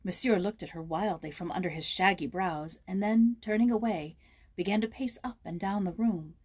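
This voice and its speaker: A woman narrating a storybook aloud in an expressive, dramatic reading style. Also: medium pitch, normal speed, slightly rough. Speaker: story reader, voice actor.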